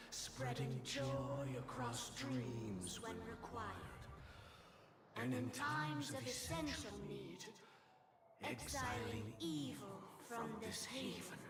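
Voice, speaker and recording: A woman's voice: a little high, sing-song, a loud crowd, exaggerated voice. A woman voicing an ancient, disembodied, haughty ruler in a video game, speaking with solemn, formal menace. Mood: solemn, imperious. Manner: slow, formal, grandiose delivery. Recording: echoing voice under loud music.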